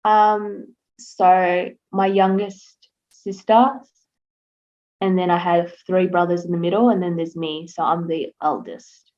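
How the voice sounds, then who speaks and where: A woman's voice: lowish voice, flat delivery, slow, a little mellow. A young Australian woman answering interview questions in a casual, rambling way, with lots of fillers and restarts. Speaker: interview guest. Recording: slightly dull lo-fi sound.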